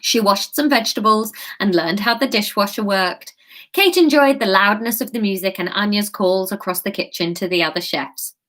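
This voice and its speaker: A woman's voice: mid-range voice, normal speed, fairly bright tone. A slightly tipsy woman comedian reading a novel aloud on a live stream, giggly and chatty, breaking off to answer comments. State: a bit tipsy. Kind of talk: live-streamed storybook reading with chat asides.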